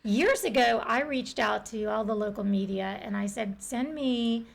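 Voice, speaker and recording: A woman with a raspy voice, a guest and TV morning show co-host, speaking candidly and with some self-doubt in a casual podcast conversation. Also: a little high, moderate pace.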